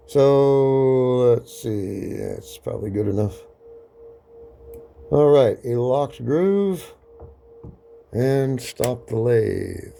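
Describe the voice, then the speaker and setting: A man giving a casual, matter-of-fact hands-on demonstration, narrating each step aloud in an unhurried way. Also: mid-range voice, dark tone. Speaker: record cutting demonstrator. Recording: clear background noise.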